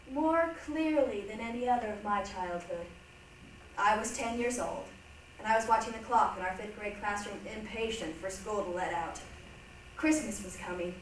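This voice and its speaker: A woman's voice: mid-range voice, moderate pace, close to an everyday voice. Woman acting a wistful woman, distant and echoey. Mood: reminiscing, earnest. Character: reflective woman recalling her childhood, earnest and wistful. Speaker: reader in a reader's theatre performance. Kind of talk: staged dramatic monologue reading. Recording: distant, noisy, echoey room recording.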